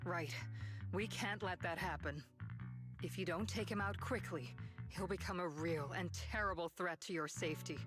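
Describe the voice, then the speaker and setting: Game role: an actor as a dutiful soldier, solemn and sincere, measured delivery, clear background music. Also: mid-range voice, natural voice. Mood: earnest, resolute. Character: earnest, dutiful military squad member.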